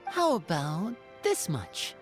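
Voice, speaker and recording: A woman's voice: fairly low pitch, huge pitch swings, slow pace, full character voice. An actress playing a composed, resolute woman monster hunter in a video game, speaking formally and politely. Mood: polite, serious. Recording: music behind the voice.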